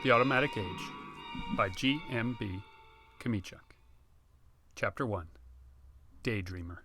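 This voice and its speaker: A man reading his own novel aloud as audiobook narration, with measured, dramatic phrasing and pauses. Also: fairly low pitch, music in the background.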